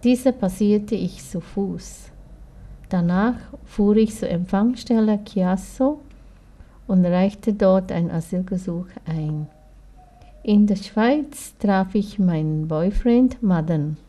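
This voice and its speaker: A young woman reading a scripted first-person account in a measured, even way. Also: medium pitch. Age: young adult.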